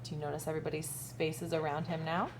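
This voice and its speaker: A woman kindergarten teacher reading a picture book aloud expressively, pausing to ask young listeners questions. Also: low-pitched, flat delivery, background noise. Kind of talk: expressive children's storytime read-aloud with asides.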